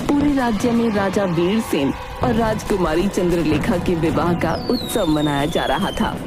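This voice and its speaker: Lower-pitched woman narrating a tale. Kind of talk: scripted storytelling narration. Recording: music under the narration.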